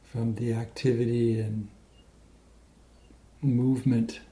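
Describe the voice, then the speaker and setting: Slow, mellow man guiding meditation. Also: medium pitch, level tone. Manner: gentle, measured, with long pauses between short phrases. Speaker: meditation teacher. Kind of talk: guided meditation, soft spoken instruction.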